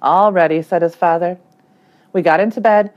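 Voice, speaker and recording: A woman's voice: on the low side, moderate pace, highs cut off. A woman reading a children's story aloud as a teacher, expressively and acting out the dialogue. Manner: animated, acts out the dialogue.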